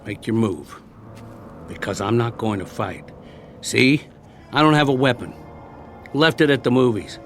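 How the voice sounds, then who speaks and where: An actor playing a weary, wry American ex-soldier, plain-spoken and coaxing, in a radio drama. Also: male voice, medium pitch, a little slow, pauses often, faint music behind, natural voice. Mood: wry, firm. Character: weary, wry male ex-soldier surviving after war.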